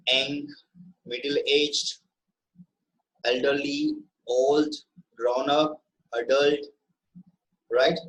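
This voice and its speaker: A man's voice: medium pitch, low-quality recording. A man with an Indian accent teaching an online English vocabulary lesson, instructive and often checking that learners follow.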